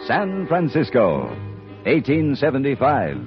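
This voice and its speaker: A man's voice: mid-range voice, huge pitch swings, measured pace. A man announcing in a formal, emphatic, scripted 1950s radio style. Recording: dull lo-fi old radio, music behind.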